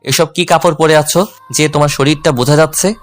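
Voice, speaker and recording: A man's voice: on the high side, steady tone, a little fast, soft background music, lightly acted. A man playing a jealous, teasing young husband in a dramatized romantic audio story. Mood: jealous, hurt. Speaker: narrator and male lead of an audio love story.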